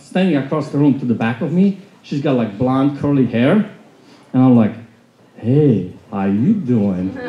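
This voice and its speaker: Polish-born man telling his story to a room. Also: high voice. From Poland. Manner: loud, animated storytelling. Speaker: motivational speaker. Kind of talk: storytelling talk to an audience. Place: large conference hall with a seated audience. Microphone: handheld mic, filmed from the back of the room. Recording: echoey hall, dull sound.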